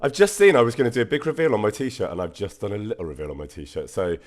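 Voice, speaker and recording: A man's voice: on the low side, animated, fast talker. A man with a bunged-up nose, chatting casually on a live stream, self-deprecating and full of ums. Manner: chatty, self-deprecating, thanks viewers warmly with frequent ums. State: congested, stuffy nose.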